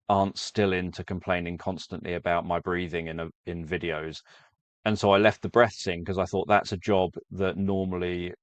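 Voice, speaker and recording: Guy w a deep monotone voice rambling to viewers about his videos, dry close mic. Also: dry recording. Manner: rambling, self-correcting explanation. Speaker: sleep story creator. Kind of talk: casual talk to viewers.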